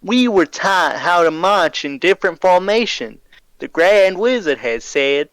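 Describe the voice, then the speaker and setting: A man's voice: high-pitched, animated, measured pace, fairly bright tone, a little hiss or hum, low-quality recording. Folksy 1920s radio interviewee acted by a man. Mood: solemn narrating. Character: folksy man recounting his undercover time in a hate group.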